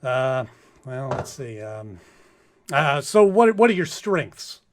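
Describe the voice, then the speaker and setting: Man acting animated, flustered space captain. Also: mid-range voice, slow. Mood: awkward, polite. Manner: stammering, theatrically flustered. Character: scheming, exasperated by-the-book space captain. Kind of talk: comic radio play acting.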